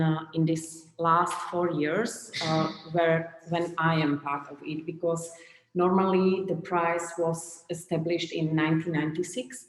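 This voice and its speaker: Slovak woman giving a talk over a laptop cam, slow and halting, lots of uhs, lowish voice, echoey room. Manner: halting, many "uh" fillers, searches for words. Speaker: gallery curator giving a talk. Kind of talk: online presentation. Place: room at home, indoors. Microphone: laptop webcam video call. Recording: echoey room with long reverb.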